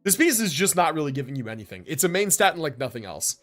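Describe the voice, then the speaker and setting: A man's voice: on the high side, big pitch swings, fast, fairly bright tone. A man streaming live, reviewing game builds in casual commentary and thinking aloud as he goes.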